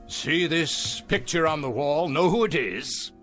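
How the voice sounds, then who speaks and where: An actor playing a ruthless, boastful older tycoon in an audio drama, with a theatrical, sardonic manner. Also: male voice, on the high side, expressive, measured pace, a bit bright, soft background music. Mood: boastful nostalgia. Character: ruthless aging male tycoon, boastful, hungry, nostalgic for hometown.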